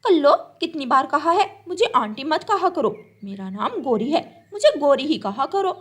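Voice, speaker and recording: Woman playing a haughty, high-pitched scolding crow lady. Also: animated, moderate pace, fairly bright tone. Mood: disdainful. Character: bad-tempered scolding adult female crow, haughty and vindictive.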